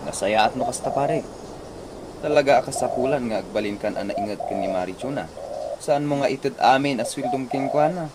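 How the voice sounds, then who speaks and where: A man's voice: mid-range voice, a little fast, close to an everyday voice. A man playing a concerned, advising friend in a radio comedy-drama, inquisitive, with an Ilocano accent. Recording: clearly noisy audio.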